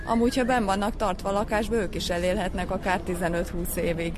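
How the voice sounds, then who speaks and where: A woman's voice: medium pitch, steady tone. A woman giving spoken answers as an expert guest in an interview. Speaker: cat breeding expert being interviewed. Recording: clear street and traffic noise.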